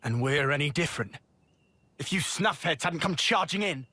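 A brash, bright-voiced British young soldier. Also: male voice, a little high, animated, normal speed, breathy edge, heavily acted. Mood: indignant. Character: hot-headed brash young male soldier. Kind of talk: video game voice acting.

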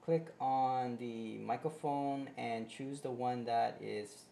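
A man's voice: mid-range voice, slow, vocal fry, mellow. A man in his forties hosting a casual how-to tutorial at his home desk, rambling through fillers and restarts. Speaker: tutorial host. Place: home office desk, indoors. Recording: echoey room, faint background hiss.

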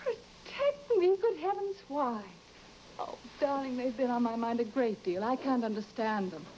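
An actress playing a worried, insistent wife in a vintage broadcast drama, speaking in an earnest, pressing, emotional way. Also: female voice, a little high, lively intonation, unhurried, dark tone. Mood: troubled, pressing. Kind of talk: vintage mid-century broadcast drama acting. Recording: dull, noisy vintage broadcast recording.

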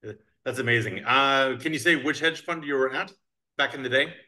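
A man in his thirties hosts an interview over a webcam, talking in a chatty way that is full of restarts and fillers. His voice is bright and forceful, at a middle pitch. He sounds like he is at a desk in an office. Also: a little fast. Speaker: host, crypto attorney. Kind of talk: casual video call interview. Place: bright office with large windows. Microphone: video call webcam.

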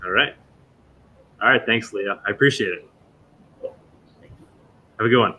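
Bright-voiced man hosting a podcast. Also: lowish voice, breathy edge. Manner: friendly, halting with fillers. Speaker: podcast host. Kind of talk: casual interview over video call. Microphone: video call.

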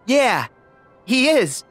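An actor playing a cheerful, earnest, good-hearted teenage boy hero in an animated video game. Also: mid-range voice, exaggerated voice. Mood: warmly amused.

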